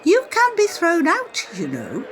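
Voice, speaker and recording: An actress plays a bossy warden in a radio drama. She is high-pitched and extremely animated in intonation. Her commands are clipped, stern and condescending. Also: unhurried, full character voice. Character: bossy, stern female care home warden. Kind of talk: radio play acting.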